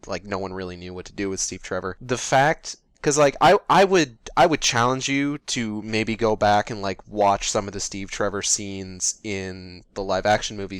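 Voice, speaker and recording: Male podcast host, lowish smooth voice, measured and easygoing. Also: a bit bright, no room sound. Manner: measured, laughs between points. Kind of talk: casual film review chat.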